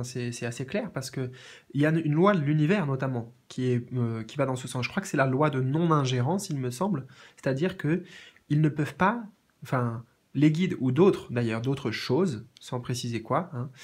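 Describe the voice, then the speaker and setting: A man with a cold and a sore throat giving a tarot reading in a gentle, repetitive way with many fillers. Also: mid-range voice. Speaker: tarot reader. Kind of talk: tarot reading, reading out card meanings. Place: indoors at a card table.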